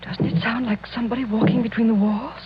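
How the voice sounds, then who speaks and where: A woman's voice: fairly high pitch, steady tone, fast, natural voice. An actress playing a devoted, worried young noblewoman in a radio mystery, speaking with pleading urgency. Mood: uneasy. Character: anxious, devoted young noblewoman, the count's niece. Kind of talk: 1940s radio mystery acting. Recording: muffled, noisy 1940s radio recording.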